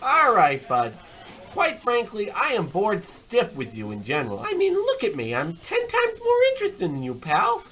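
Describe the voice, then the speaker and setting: Male actor as cocky comic radio tough guy, boastful chef, forceful bright voice, highly animated, brash, interrupting. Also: normal speed, heavily acted. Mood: scornful, bragging. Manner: brash, bragging, interrupting, calls people pal. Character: cocky, boastful tough diner chef and werewolf war veteran. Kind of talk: comedic old-time radio play acting.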